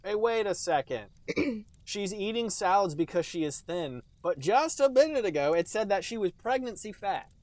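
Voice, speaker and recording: A man's voice: high voice, animated, normal speed, a little mellow, dry recording. A man co-hosting a comedy podcast with casual joking commentary. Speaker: podcast co-host.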